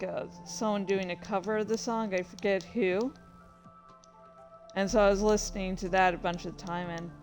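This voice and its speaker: A woman talks at an unhurried pace, in a casual and meandering way, as a writer commenting on her own work. She is halting and self-deprecating, and swears freely. Faint music plays behind her. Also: mid-range voice.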